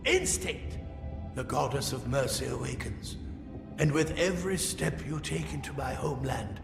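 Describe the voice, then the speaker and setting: An actor playing a grandiose, menacing immortal fae villain in a fantasy video game, mocking and theatrical. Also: male voice, mid-range voice, sing-song, gravelly. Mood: menacing, exalted. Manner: theatrical, mocking, slow and drawn-out. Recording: echoing hall reverb under loud music.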